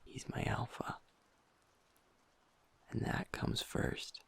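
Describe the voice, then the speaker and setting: A man voicing a gentle young werewolf boyfriend in an intimate audio roleplay. He talks softly and close to the mic, often half-whispering, and pauses and restarts as he confides. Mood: vulnerable, confiding. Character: gentle young male werewolf boyfriend, usually upbeat, now downcast.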